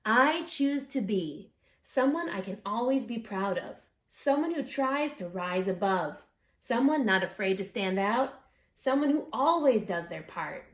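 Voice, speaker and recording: A woman reading a rhyming picture book aloud for children, speaking expressively and deliberately, straight to her young listeners. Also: mid-range voice. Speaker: children's book author and read-aloud presenter. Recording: echoey room with faint background noise.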